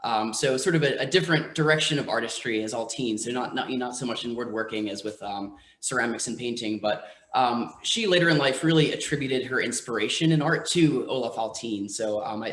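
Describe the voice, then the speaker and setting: A man's voice: mid-range voice, a bit bright. A man speaking as a museum curator on a panel, hesitant with many fillers, recorded in a museum room. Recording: echoey room.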